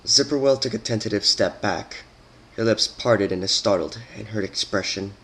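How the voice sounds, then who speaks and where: A man's voice: on the low side, moderate pace, fairly bright tone, rough recording. A man reading scripted story narration as a dramatic reading.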